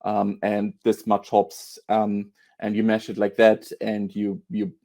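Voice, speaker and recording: A man with a low, mellow voice answers interview questions. He talks in a flat, even tone. He halts often, repeating words and filling gaps with ums. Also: measured pace, vocal fry. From Austria. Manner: hesitant, many fillers and repeated words. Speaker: beer author and historian, guest.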